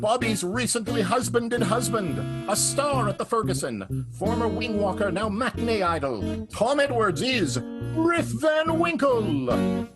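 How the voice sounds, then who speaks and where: A man's voice: high-pitched, big pitch swings, measured pace. A man announcing a comic radio show in a dramatic, playful, showy narrator's style. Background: plucked guitar music.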